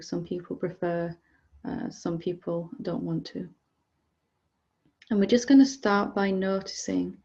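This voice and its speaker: A woman leading a guided meditation as a teacher, speaking gently and calmly with unhurried pauses, softly giving instructions. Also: on the low side, dull audio.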